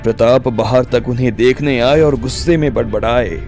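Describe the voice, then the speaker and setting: A man's voice: medium pitch, normal speed, a little mellow. A man narrating a scripted audio drama story as its storyteller. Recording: music bed under the voice.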